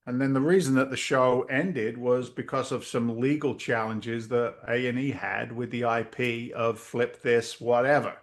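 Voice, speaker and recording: A middle-aged man, a real estate investor telling stories in a casual interview over a video call, lively and blunt, acting out voices. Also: mid-range voice, unhurried, hardly any pauses. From Massachusetts. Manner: animated storyteller, acts out quoted voices, swears casually.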